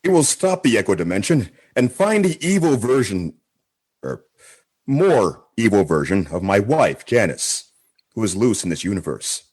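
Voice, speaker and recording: A man's voice: fairly low pitch, big pitch swings, normal speed, darkish tone, husky. A man playing a pompous, resolute admiral in a comedy sci-fi radio play, with a declamatory delivery. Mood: resolute, formal. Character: pompous, resolute male admiral overseeing space.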